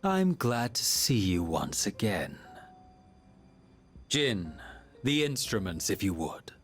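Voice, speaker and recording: An actor playing a calm, coldly soothing cult-leader villain in a video game, formal, measured and persuasive. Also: male voice, on the low side, soft background music. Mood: coolly courteous.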